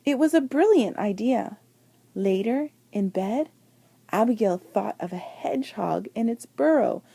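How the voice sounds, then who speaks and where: A woman reading a children's picture book aloud with deliberate, dramatic phrasing and emphasised words. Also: fairly high pitch, gravelly, warm tone, breathy edge, dry recording. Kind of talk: expressive children's storybook read-aloud.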